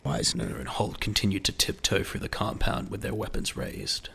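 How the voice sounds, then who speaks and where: A man's voice: low voice, very animated, moderate pace, vocal fry, sharp tone, slight background noise. A man narrating a scripted audio drama in a dramatic storytelling style.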